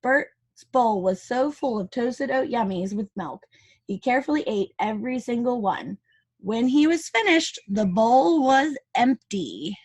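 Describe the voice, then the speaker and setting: Higher-pitched woman reading to preschoolers. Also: a little slow, slightly rough. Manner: chatty asides to children, expressive dialogue reading. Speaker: preschool teacher reading aloud to class. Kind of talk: read-aloud storytelling for young children.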